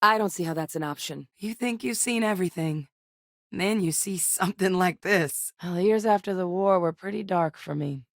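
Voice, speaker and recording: An actress voicing a composed adult woman who is a former soldier, speaking in a measured, matter-of-fact game performance. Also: fairly low pitch, creaky, dry recording, studio quality. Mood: dry. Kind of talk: video game voice acting. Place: recording studio.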